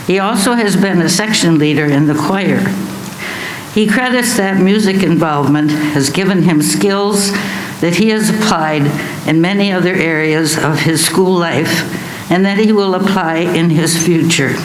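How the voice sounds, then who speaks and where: A man's voice: high-pitched, unhurried. A man presenting awards, reading a prepared ceremony speech aloud. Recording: echoey room, faint background noise.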